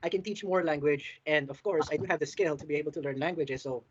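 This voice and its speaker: Filipino guy tutor, kinda high voice, talks fast, mixes tagalog n english, muffled sound. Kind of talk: casual lesson chat, mixing Tagalog and English. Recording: dull lo-fi call audio.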